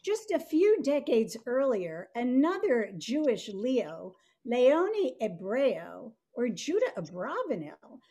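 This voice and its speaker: A woman's voice: a little high, sing-song, slow, hardly any pauses, dark tone. A woman in her sixties reading scripted, scholarly explanatory commentary on a video call. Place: indoor home room. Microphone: video call, computer mic.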